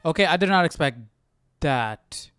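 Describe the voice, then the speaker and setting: A man's voice: a little high, animated, normal speed, fairly bright tone, smooth. A man hosting a livestreamed study session, giving casual, reflective commentary and questioning things as he thinks aloud. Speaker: Bible study livestream host.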